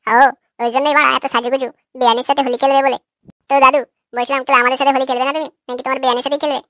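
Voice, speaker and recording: A brash young cartoon lad, acted by a performer, with a high, bright voice. He rattles along quickly, cocky and crude, teasing like a street prankster. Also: level tone, no echo, clean studio sound.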